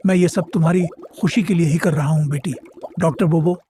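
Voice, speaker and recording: A stern, indignant merman father in a cartoon fairy tale, acted by a man. The voice is high and on the dark, mellow side, scolding and protective, over faint watery background noise. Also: fairly even intonation, fairly quick, lightly acted. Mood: firm, protective. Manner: scolding, authoritative. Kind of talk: Hindi cartoon moral story acting.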